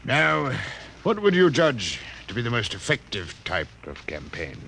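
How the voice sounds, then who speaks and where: An actor playing a courteous, self-possessed Martian businessman in a radio drama, speaking with formal, precise, measured diction. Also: medium pitch, wildly swooping pitch, creaky, pushed and bright, full character voice. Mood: courteous, businesslike. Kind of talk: old-time radio drama acting. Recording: noisy old radio recording.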